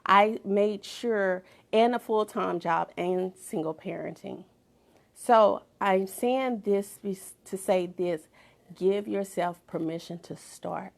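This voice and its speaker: Gravelly-voiced woman preaching purpose. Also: mid-range voice, slow pace. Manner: emphatic, repeats phrases for effect, drops to whispers. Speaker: motivational speaker and author. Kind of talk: inspirational talk with preaching.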